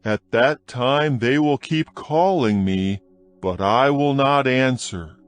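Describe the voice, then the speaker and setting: Guy narrating bible audiobook, solemn and dramatic, really slow, some music behind him. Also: mid-range voice, no room sound. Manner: solemn, deliberate, emphatic delivery. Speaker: scripture narrator.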